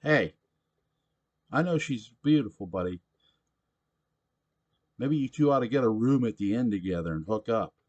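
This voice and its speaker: Drunk, pushy middle-aged bar regular, a man reading the part, loud and gruff in a storybook reading. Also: medium pitch, lively intonation, normal speed, pauses often, lo-fi sound, pitched above the performer's own voice, close to an everyday voice. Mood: belligerent.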